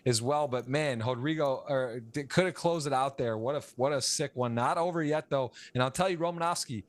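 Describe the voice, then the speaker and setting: An American man calling a live poker stream, rambling and repeating words, and getting excited on big hands. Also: mid-range voice, a little fast, dry recording. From United States. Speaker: poker commentator and stream host. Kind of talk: live play-by-play commentary.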